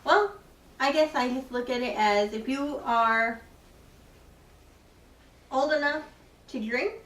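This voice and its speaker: Female podcast guest, high-pitched and eager, heard from a distance in a roomy space. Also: takes it slowly, fairly bright tone. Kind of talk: casual chat.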